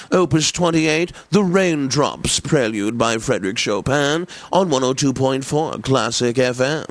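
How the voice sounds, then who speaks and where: An actor playing a pompous, snobbish classical radio DJ in a video game, theatrical and jovial, with flowery diction. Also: male voice, mid-range voice, no echo.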